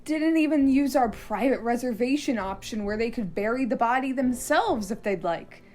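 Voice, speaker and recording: A woman's voice: a little high, lively intonation, moderate pace, a little mellow, soft background music, lightly acted. A woman playing a snarky, money-minded hired assassin in a comic radio play, brash and griping.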